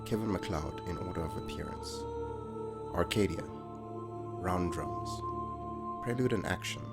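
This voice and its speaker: A man's voice: low voice, a little slow. A man, the show's creator, reading scripted closing credits for an audio drama. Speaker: writer, director and sound designer reading credits. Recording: loud music under the voice. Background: loud new-age music.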